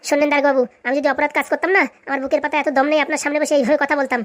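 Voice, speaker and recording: A woman's voice: high voice, little pitch movement, racing, dry recording, studio quality, natural voice. A woman voicing an indignant, sarcastic villager in a comic cartoon, argumentative and long-winded. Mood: defiant. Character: cheeky, indignant villager accused of theft, talking back.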